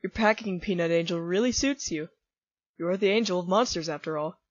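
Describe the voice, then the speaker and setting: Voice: medium pitch, fairly quick, dry recording, close to an everyday voice. An actor voicing a laid-back, joking male skeleton monster in a comic dub, talking in a casual, easygoing way. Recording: dull lo-fi audio with faint music.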